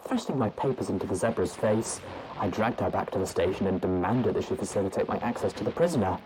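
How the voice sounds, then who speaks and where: A man reading a story's journal entries aloud in a measured, formal way. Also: low-pitched, noisy background. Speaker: narrator. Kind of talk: audiobook narration of journal entries.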